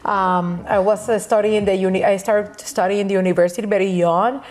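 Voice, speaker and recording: Puerto Rican woman, halting and laughing. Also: medium pitch, steady tone, a little mellow. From Puerto Rico. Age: middle-aged. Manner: halting, frequent restarts, laughs often. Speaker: guest, nail educator. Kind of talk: casual interview chat.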